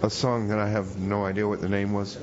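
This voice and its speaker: A man's voice: fairly low pitch, monotone, lightly acted. A man playing an easygoing, hapless radio DJ broadcasting from jail, hesitant and rambling, in comedy radio theater. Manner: hesitant, rambling, slacker drawn-out talk. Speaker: radio DJ host. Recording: dull lo-fi radio sound, noisy background.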